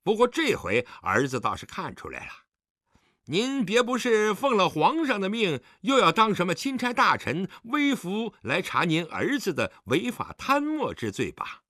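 A man's voice: mid-range voice, wildly swooping pitch, measured pace, bright, forceful tone, dry recording, studio quality, heavily acted. A man acting a drunk, defiant grown son who taunts his father insolently in an audiobook drama. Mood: bitter, sarcastic. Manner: insolent, taunting, slightly stumbling speech.

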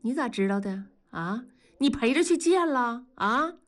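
A woman voicing a fierce, sharp-tongued wife in an audiobook, jealous and scornful, scolding and interrogating. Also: fairly high pitch, sing-song, brisk, breathy edge, voice pushed up. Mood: accusing. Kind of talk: audiobook character dialogue.

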